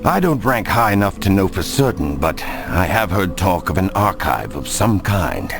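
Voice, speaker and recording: An actor playing an obliging, sly Templar informant in a video game, courteous and conspiratorial. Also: male voice, low-pitched, big pitch swings, moderate pace, gravelly, over music, exaggerated voice. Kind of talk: scripted game cutscene dialogue.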